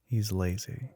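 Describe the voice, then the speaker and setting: A man playing a smug, teasing, telepathic young male classmate in an ASMR roleplay, with a husky, breathy, often whispered voice. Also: fairly low pitch, warm tone, full character voice. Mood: dismissive, coaxing. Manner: smug, teasing, drawn-out knowing remarks.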